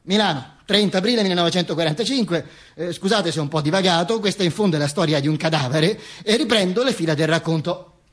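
An actor playing a vain, ranting, self-mocking dead dictator in an emphatic, declamatory theatrical radio monologue. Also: male voice, high-pitched, quick pace, fairly bright tone. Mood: sardonic, musing. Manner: emphatic, declamatory, with insistent repetitions and interjections. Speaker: stage actor performing own monologue.

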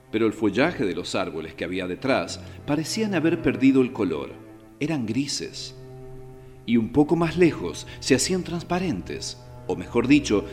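Low male narrator with hall reverb. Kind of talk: dramatic scripted radio drama narration. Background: faint music.

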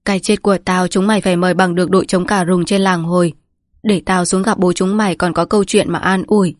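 A woman's voice: fairly low pitch, little pitch movement, quick pace, dry recording, clean studio sound, close to an everyday voice. A woman voicing a dying old village patriarch, bitter and sentimental, who laments and scolds in a weakened voice. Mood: solemn, wistful. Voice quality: weak, breathy, trembling delivery.

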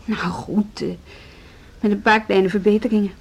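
An actress playing a determined younger deputy headmistress in a radio drama, insistent and argumentative. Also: female voice, mid-range voice, level tone, normal speed, breathy edge. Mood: firm, insistent. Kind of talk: Dutch social radio drama acting.

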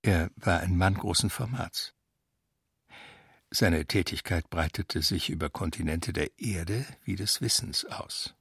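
A man with a deep, creaky and rough-edged voice narrates literary prose for a radio drama. He delivers it as scripted storytelling. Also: a little slow, fairly bright tone, no echo, studio quality.

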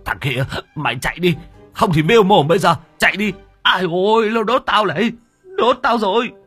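A man's voice: on the high side, animated, measured pace, fairly bright tone, husky, soft background music, voice pushed up, full character voice. A man voicing a bumbling, boastful old male sorcerer who wails and blusters crudely. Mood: panicked.

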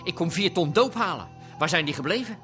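A man's voice: on the high side, lively intonation, a little fast, a bit bright, soft background music. A man acting a menacing, taunting gangster in a Dutch radio drama. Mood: mocking menace. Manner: taunting, threatening, bullying. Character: menacing adult male Amsterdam-underworld gangster after his stolen drugs.

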